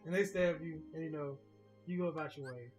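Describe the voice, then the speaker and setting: A man's voice: fairly high pitch, fairly even intonation, brisk, darkish tone, soft background music. A man giving casual gaming commentary in a rambling, argumentative way, with some swearing. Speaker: commentator on a video game let's play.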